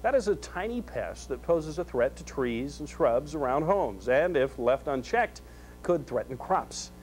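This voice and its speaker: A man's voice: a little high, big pitch swings, a little slow, darkish tone, rough recording. An American man anchoring a scripted local television newscast. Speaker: news anchor.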